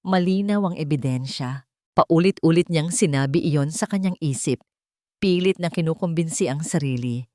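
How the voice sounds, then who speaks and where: A Filipino woman reads a sentimental family drama as a scripted narration. Her voice sits a little low, and the sound is dry, with very little room around it. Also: mellow, no echo. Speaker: story narrator.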